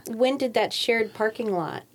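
A woman's voice: on the high side, a little slow. A woman interviewer asking questions, often restarting as she finds the words.

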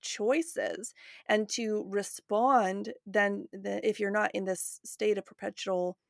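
A woman's voice: mid-range voice, steady tone, takes it slowly, vocal fry, no echo, clean studio sound. A woman in her late forties hosting a podcast in a casual, conversational way. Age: late 40s. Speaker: podcast host.

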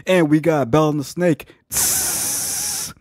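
A man's voice: fairly low pitch, steady tone, a little fast, a little mellow, no room sound. A man hosting a casual live online chat show, bantering in a crude, joking way.

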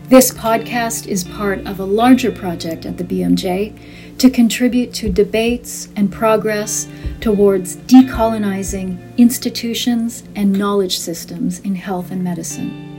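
A woman's voice: mid-range voice, fairly even intonation, measured pace, warm tone, faint music behind, poor audio. A woman announcer delivering a scripted introduction for a podcast. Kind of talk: scripted narration.

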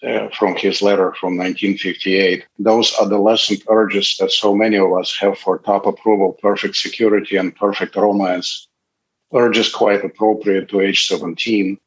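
A man in his late fifties from Russia, speaking, gives a candid, halting personal testimony at a recovery meeting. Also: low-pitched, lo-fi sound. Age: 50s. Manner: candid, halting, pauses often.

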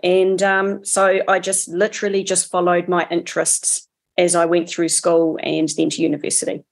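Matter-of-fact New woman, low voice. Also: level tone, moderate pace, gravelly. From New Zealand. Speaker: guest, farmer. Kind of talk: casual interview answers.

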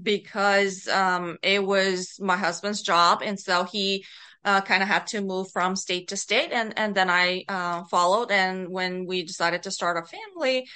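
A middle-aged woman with a Bulgarian accent answers interview questions casually. Her voice is bright and smooth. She speaks slowly and hesitantly, in a giggly manner. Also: medium pitch, fairly even intonation. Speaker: podcast guest, author and writing coach.

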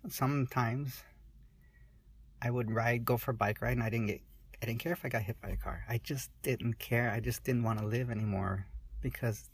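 A woman in her forties speaking candidly in an interview, halting and soft-spoken, often restarting her sentences. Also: very deep voice, steady tone, husky, no echo. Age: 40s.

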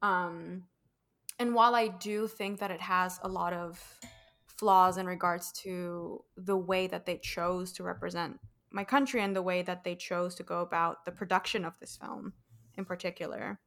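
Bright-voiced young woman reviewing a film. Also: on the low side, steady tone, normal speed. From Colombia. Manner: thoughtful, frequent fillers. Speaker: host. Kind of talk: casual review chat.

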